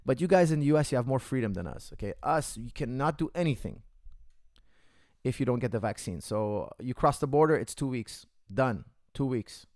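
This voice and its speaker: A man's voice: medium pitch, expressive, normal speed, darkish tone, dry recording. A man hosting a casual podcast, ranting heatedly to viewers, repeating himself and calling them guys. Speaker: podcast host. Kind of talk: casual opinion rant to viewers. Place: Canada.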